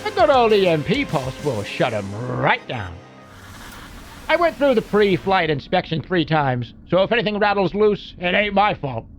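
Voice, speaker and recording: Excitable high-pitched wisecracking mech mechanic. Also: male voice. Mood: folksy, proud. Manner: folksy, slangy, wisecracking. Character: gruff-talking, wisecracking mech mechanic, gun-loving and excitable. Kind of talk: video game character voice lines.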